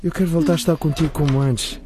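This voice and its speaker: An actor playing a teenage schoolboy, a coaxing, self-serving boyfriend, in a radio drama. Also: male voice, a little high, steady tone, fast talker, background noise, lightly acted. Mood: pleading. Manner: pleading, coaxing.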